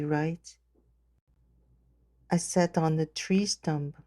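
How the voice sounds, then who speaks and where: Female meditation guide: soft dark tone, very slow gentle delivery, measured phrasing. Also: low-pitched, no echo, clean studio sound.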